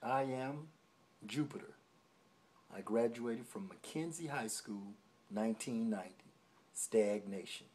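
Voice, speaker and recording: A man from Detroit in his forties, introducing himself slowly and deliberately. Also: fairly low pitch, a little mellow. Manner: slow, deliberate. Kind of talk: brief self-introduction.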